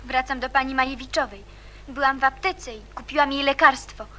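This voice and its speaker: A woman's voice: high-pitched, steady tone, fairly quick, pushed and bright, a little hiss or hum. An actress playing a proud, stubborn young girl in a radio drama, curt and reserved. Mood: hurt, reproachful. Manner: curt, reproachful or politely reserved. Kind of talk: Polish radio drama acting.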